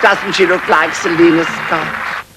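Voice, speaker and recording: An actor playing a gushing game show announcer who presents contestants with drawn-out delivery. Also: medium pitch, fairly even intonation, very noisy, natural voice. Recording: distant, very loud music behind.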